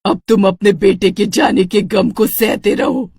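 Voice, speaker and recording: A woman's voice: mid-range voice, measured pace, gravelly, darkish tone, slightly rough, no echo, clean studio sound, heavily acted. A woman voicing a vengeful, merciless female ghost witch in a horror cartoon, speaking in a commanding, dramatic way. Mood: cold, vindictive. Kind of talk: Hindi horror cartoon character voice.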